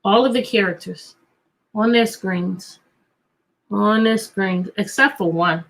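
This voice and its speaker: A woman teacher reading a picture book aloud to children, animated and coaxing, often repeating questions to draw out answers. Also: medium pitch, takes it slowly, gravelly. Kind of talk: interactive children's read-aloud.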